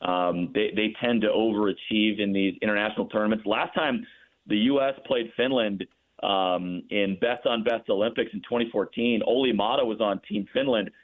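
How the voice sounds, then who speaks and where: A man's voice: on the low side, moderate pace. A man talking sports analysis in an enthusiastic, conversational way, as a guest broadcaster. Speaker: radio play-by-play broadcaster as guest. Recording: narrow muffled phone-like line.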